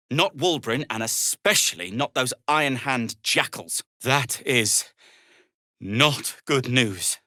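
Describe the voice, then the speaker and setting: A man's voice: mid-range voice, bright, forceful tone, no room sound, studio quality. A male actor playing a world-weary, prim gnome in a video game, wry and exasperated, with formal wording. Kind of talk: video game character dialogue.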